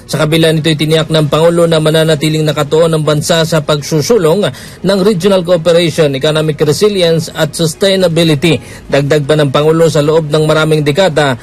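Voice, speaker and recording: A male radio reporter reading a formal news report in a steady voice, with a few brief hesitations. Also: on the high side, a little fast, soft background music. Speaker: field reporter.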